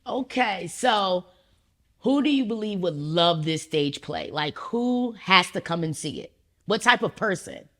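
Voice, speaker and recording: A woman's voice: on the low side, animated, unhurried, fairly bright tone. An American woman hosting a casual, chatty interview, asking questions in an informal, colloquial way and reacting readily. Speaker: interviewer, host.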